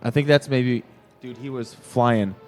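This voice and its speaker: A man's voice: medium pitch, steady tone, a little slow, faint music behind. Casual, slangy male podcast host. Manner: informal, slangy and profane. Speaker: podcast host interviewing a band. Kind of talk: casual, joking interview chat.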